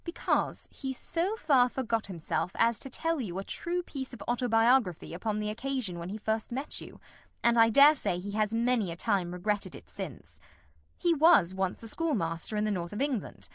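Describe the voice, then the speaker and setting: Casting: woman reading as a calm, incisive gentleman detective for an audiobook drama; measured, explanatory delivery, very dry sound. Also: a little high, no room sound. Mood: calm, analytical.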